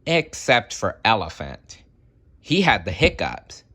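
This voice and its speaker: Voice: mid-range voice, very animated, slow, pushed and bright, a little hiss or hum. A storybook reader narrating expressively for young children and addressing the listeners directly. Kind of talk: animated children's read-aloud narration.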